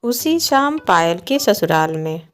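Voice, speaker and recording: A woman's voice: fairly low pitch, very animated, slow pace, a bit bright. A woman reading scripted storybook narration for a cartoon moral tale. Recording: music behind the voice. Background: background music.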